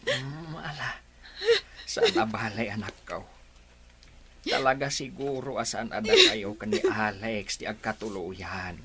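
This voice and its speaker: A man playing a gentle, consoling older father in a radio drama, with a Filipino accent. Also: on the low side, huge pitch swings, a little slow, a crowd in the background. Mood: tender, concerned. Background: music.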